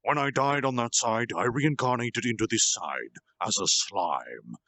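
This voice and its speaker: A man voicing a gentle, earnest slime monster leader who was once human, speaking in a solemn, halting confessional way for a storytime reading. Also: mid-range voice, animated, no echo. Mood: solemn, remorseful.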